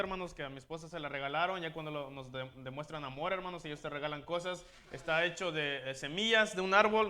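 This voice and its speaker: A man's voice: fairly high pitch, pushed and bright. A missionary man making an earnest appeal to a church congregation, calling them brothers in nearly every sentence. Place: church sanctuary.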